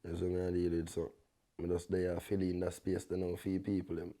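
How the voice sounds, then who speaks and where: A young man with a Jamaican accent and a deep bass voice, talking in relaxed patois as a guest artist, often tagging his sentences with a check-in. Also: a little fast. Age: young adult. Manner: laid-back, peppers talk with "you see me?".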